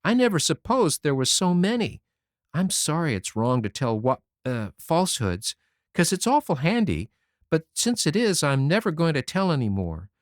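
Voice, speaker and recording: Reader doing a little boy, high-pitched, eager, mischievous, sing-song, storybook. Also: very animated, moderate pace, no room sound, studio quality, natural voice. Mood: curious. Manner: high, eager childish pleading. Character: mischievous six-year-old boy, pleading and curious. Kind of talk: audiobook character voice.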